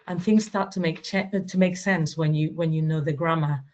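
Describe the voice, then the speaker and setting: A native Spanish-speaking woman giving a reflective, halting personal account as a webinar panelist, in conversational style. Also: low voice, fairly even intonation, a little mellow. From Spain or Spanish-speaking country. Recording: dull, lo-fi online call audio.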